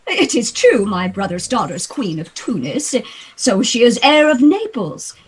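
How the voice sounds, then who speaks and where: An actress playing an ambitious royal sister tempted into murderous conspiracy, in a theatrical, deliberate Shakespearean radio play style. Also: female voice, a little high, big pitch swings, breathy edge, heavily acted. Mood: wary, intrigued.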